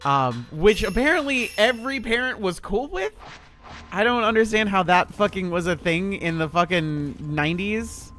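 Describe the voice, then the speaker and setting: A man's voice: high voice, expressive, moderate pace, fairly bright tone, a little hiss or hum. A man in his thirties streaming a horror game, chatting in a rambling, laid-back way with a lot of swearing. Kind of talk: casual gameplay commentary.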